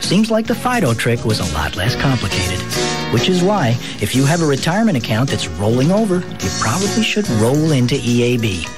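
A man's voice: mid-range voice, sing-song, brisk. An American man reading a scripted radio commercial in a folksy, wry way. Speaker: commercial announcer. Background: music bed.

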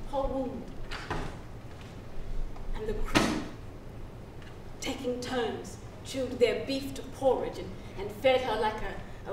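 A middle-aged woman playing a free young woman who solemnly recounts her birth at sea in a measured, halting stage monologue. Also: on the high side. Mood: solemn, tender. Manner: measured, halting, deliberate storytelling. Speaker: actress. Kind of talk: dramatic stage reading, solo storytelling monologue. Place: theatre stage. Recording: roomy stage pickup, distant, hissy ambience.

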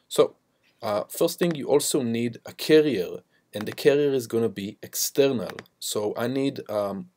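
A man teaching music production, walking through software steps in an informal, instructional way. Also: mid-range voice, slight background noise. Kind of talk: step-by-step software tutorial. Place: voice-over to a screen recording.

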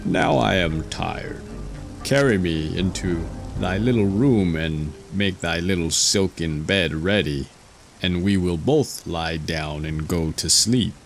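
A demanding enchanted frog from a storybook, voiced by a man, speaks in a low, slow voice. He presses his requests imperiously, in archaic phrasing. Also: vocal fry, faint music behind, heavily acted. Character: insistent, demanding male frog, an enchanted prince.

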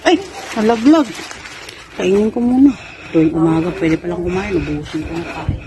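A woman's voice: fairly low pitch, moderate pace, a crowd in the background, rough recording. A woman in her thirties vlogging casually on a farm, chatty and laughing easily as she picks and tastes fruit. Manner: chatty, laughs easily, excited when pointing things out. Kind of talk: casual chat while picking fruit. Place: outdoor farm orchard. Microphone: handheld phone camera.

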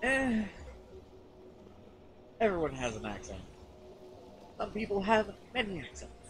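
A young American man livestreaming a game, chatting in an expressive, sweary, teasing way. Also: high-pitched, unhurried, slight background noise. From California, USA. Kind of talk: casual banter while streaming a game. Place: indoor room, seated in armchair.

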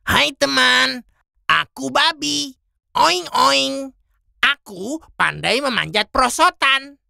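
Creaky, bright cartoon animal. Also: female voice, sits high, slow, no room sound, full character voice. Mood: playful. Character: playful cartoon animals: a pig, a quarrelling big cat. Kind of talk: children's cartoon dubbing.

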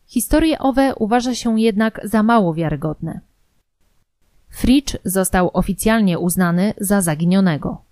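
A woman reading scripted documentary narration in a formal, measured manner. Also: mid-range voice, dark tone, dry recording.

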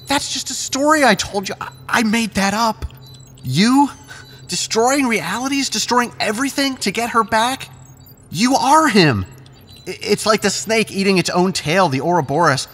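An actor playing an anguished, defiant brother and reluctant accomplice in an audio drama, pleading and emphatic. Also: male voice, high voice, a bit bright, husky, full character voice. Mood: pleading accusing. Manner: pleading, emphatic, stammering when upset. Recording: long cavernous reverb.

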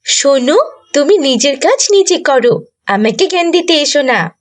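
A woman's voice: high voice, animated, moderate pace. A woman voicing a self-willed young girl in a moral cartoon story. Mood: dismissive. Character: stubborn self-centred young girl, later repentant.

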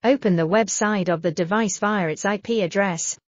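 A woman reading a scripted software tutorial in a measured, instructional manner. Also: mid-range voice, no echo, dull audio. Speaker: tutorial narrator.

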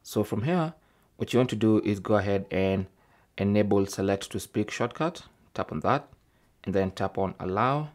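Man, low pitch, tech tutorial presenter, step-by-step narration, methodical, informal. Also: lively intonation, normal speed, a little mellow. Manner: methodical, informal, often tags phrases with "okay".

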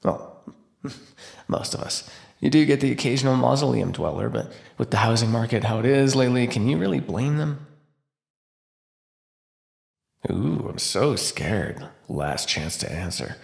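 A man's voice: medium pitch, animated, moderate pace, creaky. A man playing a defiant captive vampire in an ASMR roleplay, talking with sarcastic, taunting flippancy. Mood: mocking, bored. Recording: roomy echo.

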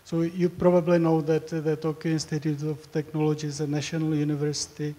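A man's voice: a little high, monotone, fast, dark tone. Hesitant man lecturing in a hall. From Czech Republic. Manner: hesitant, with frequent fillers and repeated words. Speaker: professor giving a research talk. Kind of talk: academic presentation.

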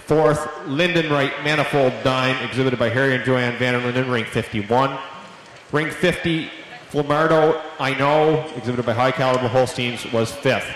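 A man announcing show ring placings over a public address system, in a measured, formal way. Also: fairly bright tone, a little hiss or hum. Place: large indoor show arena. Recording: booming PA echo in large hall.

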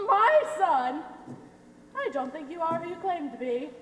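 A woman's voice: extremely high pitch, very animated, takes it slowly, exaggerated voice. A young woman on stage playing a melodramatic, self-important, domineering mother with a grandly theatrical manner. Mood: indignant, scornful. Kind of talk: high school stage play acting. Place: theater stage, filmed from the audience. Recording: echoey theater stage, faint noise.

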